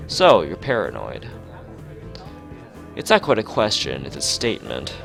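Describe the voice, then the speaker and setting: A man's voice: sits low, huge pitch swings, unhurried, creaky, over music, heavily acted. A man voicing an odd, blunt, musing teenage schoolgirl artist from a visual novel, talking in matter-of-fact non-sequiturs.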